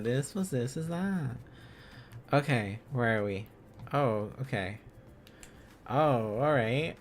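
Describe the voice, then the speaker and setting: A man's voice: medium pitch, slight background noise. A man live-streaming a casual lesson, thinking aloud in a hesitant, rambling, playful way.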